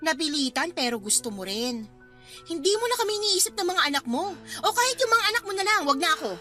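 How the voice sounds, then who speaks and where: A woman's voice: extremely high pitch, very animated, fast, pushed and bright, faint music behind, exaggerated voice. A woman playing a bitter, combative wife and mother in a radio drama, sarcastic and confrontational. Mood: sarcastic, accusing.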